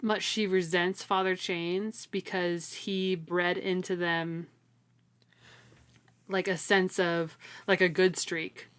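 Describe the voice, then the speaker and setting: A woman's voice: on the low side, level tone, takes it slowly, a bit bright, clear, resonant tone. A woman co-hosting a casual book discussion, talking in a slangy way and repeating words for emphasis. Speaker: podcast co-host.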